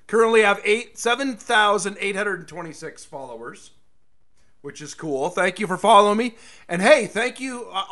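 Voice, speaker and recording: A middle-aged American man hosting a casual solo radio show, rambling and folksy, with a slightly hoarse voice. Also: high-pitched, pushed and bright. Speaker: radio and podcast host.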